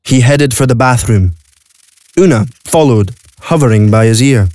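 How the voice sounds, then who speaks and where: A man's voice: medium pitch, lively intonation, moderate pace, darkish tone, dry recording. A man reading scripted story narration for an audio play. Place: recording studio.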